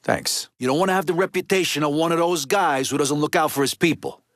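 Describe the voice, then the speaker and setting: A man's voice: on the high side, brisk, a bit bright, no echo, close to an everyday voice. Game mobster, male actor: middle-aged Italian-American tough guy, East Coast accent, street-smart, swearing freely, dry studio sound. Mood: resentful, firm. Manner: blunt, profane, street-tough wiseguy talk. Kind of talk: video game character dialogue.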